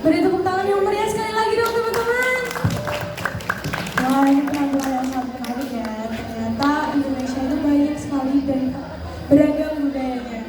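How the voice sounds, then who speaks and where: Teen girl MC, high and animated, announcing acts, echoey hall, crowd. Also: a little fast, distant mic, over loud music. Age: teens. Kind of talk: stage announcing.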